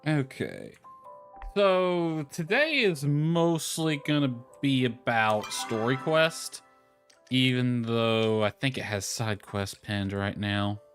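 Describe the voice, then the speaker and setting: A man's voice: medium pitch, slow, fairly bright tone. Casual male game streamer over background music. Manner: rambling, thinking aloud. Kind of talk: casual live gameplay commentary.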